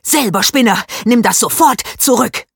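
A woman reading aloud as a small, hot-tempered schoolboy who is quick to take offense. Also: medium pitch, very animated, slightly rough, no room sound, studio quality, exaggerated voice. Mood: furious.

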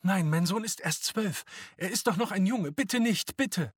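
A man performs a terrified mother in an audiobook. Her voice is pushed high and husky as she cries out, desperate and pleading. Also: expressive, measured pace, no echo, studio quality, full character voice. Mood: frantic pleading. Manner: crying out, pleading, frantic. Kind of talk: audiobook character voice.

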